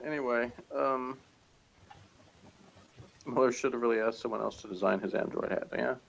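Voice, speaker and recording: Gravelly-voiced man chatting casually. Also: medium pitch, moderate pace, a bit bright, a little hiss or hum, poor audio. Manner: rambling, jokey, chuckles often. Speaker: reviewer on a TV show review channel. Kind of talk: casual chat reviewing a TV episode.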